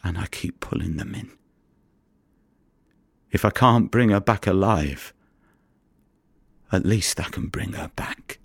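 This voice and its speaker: An actor playing a haunted fisherman in an audio play, telling his story intensely and haltingly in a gravelly, breathy voice. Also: sits low, no room sound, full character voice. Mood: anguished. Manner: intense, halting storytelling that drops toward whispers. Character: haunted fisherman recounting a rescue at sea. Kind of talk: dramatic monologue in an audio play.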